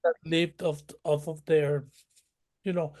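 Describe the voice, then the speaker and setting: A man's voice: fairly high pitch, little pitch movement, slow pace, a little mellow. A man from northern Mexico, a guest telling his family history in a rambling, detailed way with many restarts. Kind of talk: conversational interview.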